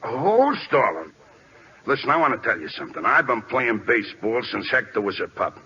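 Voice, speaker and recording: A man with an American accent playing a folksy, gruff, loyal old-hand ballplayer in radio drama, slangy and plainspoken. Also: mid-range voice, sing-song, moderate pace, bright, forceful tone, slight background noise. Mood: earnest. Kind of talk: 1940s radio drama acting. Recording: dull old radio recording.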